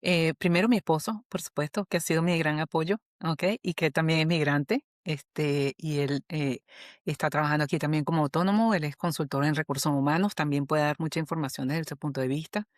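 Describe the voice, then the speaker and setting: A Venezuelan woman, a human resources specialist, talking as a guest in an interview, animated and often checking in with "¿ok?". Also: deep voice, a little fast, dry recording, clean studio sound. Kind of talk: conversational interview.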